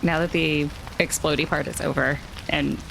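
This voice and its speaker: A woman's voice: sits low, steady tone, normal speed, vocal fry, noisy background. A woman playing a tabletop game, chatting casually and describing her character's actions with playful, wry remarks.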